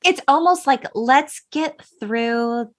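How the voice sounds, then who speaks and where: A high-pitched woman hosts a casual podcast chat. She retells film scenes with enthusiasm and emphasis. She slips into quoted dialogue as she goes, in a conversational way. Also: slow pace, a bit bright. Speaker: podcast host.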